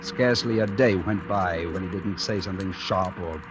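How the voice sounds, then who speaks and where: A man acting a low-voiced, proud romantic painter. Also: noisy background, lightly acted. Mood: brooding, reflective. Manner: measured, literate, poetic delivery. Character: proud romantic itinerant painter, thoughtful and defiant. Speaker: lead actor and narrator. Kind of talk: 1940s radio drama acting. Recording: old radio recording with music.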